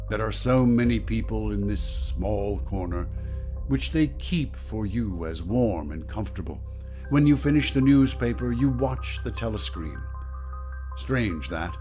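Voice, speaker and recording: A man playing the solemn inner-voice narrator of a dystopian radio drama, telling it in a measured way. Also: fairly low pitch, a little mellow.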